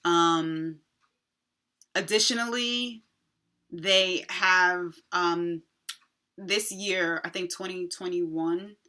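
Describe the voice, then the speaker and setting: Bright-voiced New York woman chatting to camera. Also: fairly low pitch, extremely slow, long pauses. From Long Island, New York. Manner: chatty and expressive, many fillers, playful asides. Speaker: nurse practitioner vlogger. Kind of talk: casual talk to camera. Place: small indoor room in front of a pink backdrop. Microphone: camera in front, head-and-shoulders framing.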